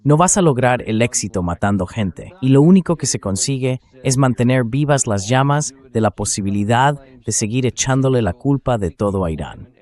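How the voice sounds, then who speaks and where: A man's voice: medium pitch, expressive, moderate pace, few pauses, darkish tone. A man's synthetic AI voice reading a translated political commentary as a voice-over.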